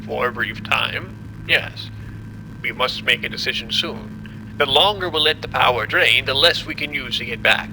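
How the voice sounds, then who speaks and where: A man playing a formal, measured scientist who explains a disaster in an audio drama. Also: medium pitch, vocal fry, background noise. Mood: grave, deliberate. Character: apologetic, condescending male scientist explaining a disaster.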